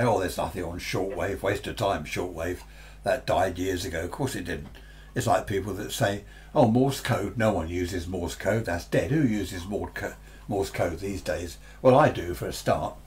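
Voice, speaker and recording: Older English man, low rambling voice. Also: darkish tone. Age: 60s or older. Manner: rambling, chatty, muses aloud to himself. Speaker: radio hobbyist presenter. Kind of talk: casual unscripted product review. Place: small cluttered indoor radio workshop. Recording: noticeable background noise with hum.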